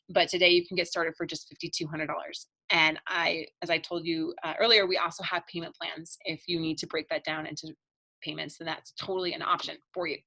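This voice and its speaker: A woman marriage coach giving a chatty, upbeat pitch in a live online webinar, laughing between points and losing her voice. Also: creaky, sharp tone, breathy edge, low-quality recording.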